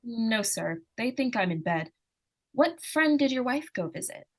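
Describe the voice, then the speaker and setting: A woman plays a young girl in an old-time radio thriller. Her voice sits fairly high and swings widely in pitch. She is artless and hesitant, yet keeps probing with innocent-sounding questions. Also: sing-song, a little slow. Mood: curious, probing. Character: inquisitive young girl, innocent-seeming, probing, easily frightened. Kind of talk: 1940s radio suspense acting.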